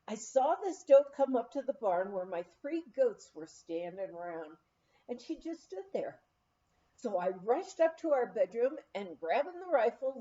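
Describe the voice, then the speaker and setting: An excitable country neighbour voiced by a woman reader spins a folksy hunting yarn in a memoir. The voice is on the high side and soft-edged, with a mellow tone and dramatic storytelling. The recording is slightly muffled. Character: excitable rural mountain neighbour man telling his hunting mishap.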